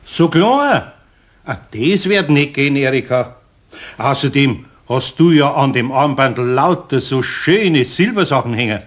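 A man's voice: medium pitch, animated, measured pace, close to an everyday voice. A man playing a kindly but grumbling older master carpenter with a Bavarian accent in a children's radio play, speaking in a homely, patient way.

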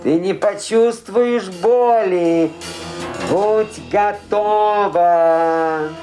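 A man's voice: sits high, extremely slow, warm tone, soft background music. A man playing the ghost of a dead husband with an Irish accent in an audio drama, chanting soothingly and eerily. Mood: eerie, calm. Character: ghost of dead husband, faint, eerie, calmly summoning.

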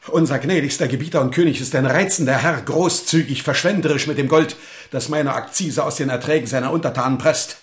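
An actor playing an agitated, jealous count in a German radio drama, theatrical and swinging between hushed remorse and forceful command. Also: male voice, fairly high pitch, a little fast, echoey room, lightly acted. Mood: bitter, forceful. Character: agitated jealous count, a husband regretting a reckless wager.

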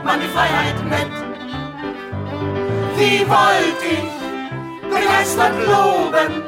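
A woman singer performing a slow pop setting of a poem with a band. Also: extremely high pitch, gravelly, reverberant, loud music behind the voice.

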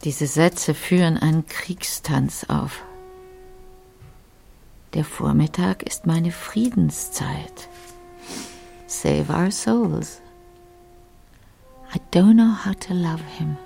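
An actress playing a weary, brooding wife and translator, murmuring her inner thoughts haltingly in a radio play. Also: sits low, soft background music, heavily acted. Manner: hushed, halting, breathy murmur.

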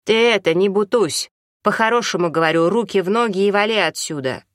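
A big, domineering older village woman from an audiobook, as a female reader puts her on. She scolds brusquely in folksy, colloquial speech and sounds gruff and combative, recorded dry and close. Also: medium pitch, fairly quick, dry recording, pitched above the performer's own voice, close to an everyday voice. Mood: threatening.

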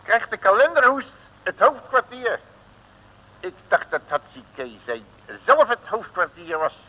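An actor playing a wily, jocular detective in an old radio serial, with a folksy, teasing manner. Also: male voice, sits high, big pitch swings, measured pace, pushed and bright, heavily acted. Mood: probing, jocular. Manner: folksy, teasing, colourful mock-oaths. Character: wily, jocular male detective, self-styled uncle. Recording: noisy old radio drama recording.

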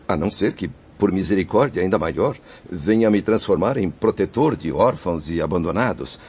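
A man's voice: low-pitched, expressive, moderate pace, darkish tone, breathy edge. An actor playing the guilt-ridden, grateful spirit of a father in a radio soap, speaking in a solemn, declamatory way. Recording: dull lo-fi radio drama recording.

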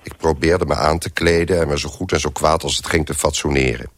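A man's voice: extremely low pitch, steady tone, brisk, dry recording. An actor playing a hungover, bedraggled man who tells his misadventures in a wry, self-pitying way in a radio play. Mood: wry, groggy. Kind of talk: first-person radio play narration.

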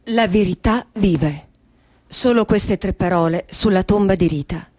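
An actress as a sober narrator, reading scripted documentary passages in a radio drama about real tragedies. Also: female voice, mid-range voice, unhurried, warm tone, dry recording, lightly acted. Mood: grave.

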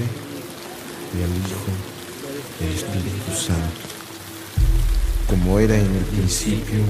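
Slow, deep-voiced Mexican bishop. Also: male voice, low-quality recording. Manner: solemn, deliberate, pastoral. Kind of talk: Spanish-language radio drama acting.

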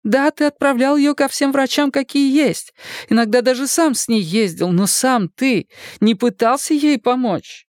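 A woman's voice: sits high, moderate pace, no echo, clean studio sound, lightly acted. A woman voicing an anguished wife and mother in a dramatised audiobook, tender but insistent as she emotionally implores. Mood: reproachful, urging.

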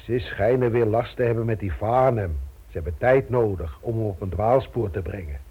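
Steady gang leader, tinny sound. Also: male voice, lowish voice, normal speed, slightly rough. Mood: calmly persuasive. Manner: matter-of-fact, persuasive, orderly. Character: pragmatic, steady male robbery gang leader. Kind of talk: radio drama acting. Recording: tinny, narrow old radio recording.

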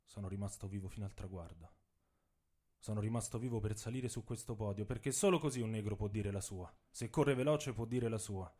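A man voicing a proud, defiant athlete in a solemn, hushed radio theatre monologue. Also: on the low side, close to an everyday voice. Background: saxophone and drum music.

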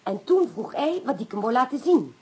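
Very husky, coquettish French sleuth. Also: female voice, a little high, very animated, normal speed, a little mellow, heavily acted. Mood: recounting. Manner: coquettish, sprinkles in French phrases. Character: clever Frenchwoman, self-assured amateur sleuth, fond of the inspector. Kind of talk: Dutch radio play acting.

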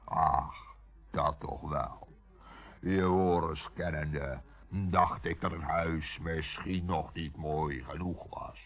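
Huge male giant, rumbling bass, gruff, commanding, children's radio play, dull old recording. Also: steady tone, exaggerated voice. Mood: scornful. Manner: slow, ponderous, commanding. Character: huge slow-spoken male giant, gruff, bossy but reassuring.

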